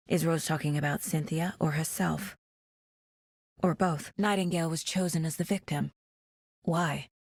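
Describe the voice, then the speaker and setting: A woman's voice: low voice, a little mellow, slightly rough, dry recording, clean studio sound. A woman playing a determined, guarded investigator in a horror video game, earnest and terse in a naturalistic delivery. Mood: focused, musing.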